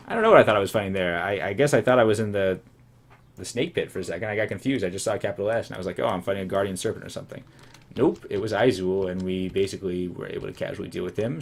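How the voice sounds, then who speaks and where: Deep-voiced man, hoarse game commentary. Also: slight background noise. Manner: rambling, self-amused, musing asides. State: voice going hoarse. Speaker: let's play commentator. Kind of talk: casual gameplay commentary.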